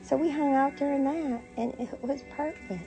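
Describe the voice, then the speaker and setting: A woman's voice: high voice, fairly even intonation, moderate pace, poor audio. American woman, playful storyteller over music. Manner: playful, sassy, acts out dialogue. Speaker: storyteller, online content creator. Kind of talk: casual personal storytelling to camera. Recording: music playing behind the voice. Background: clear background music.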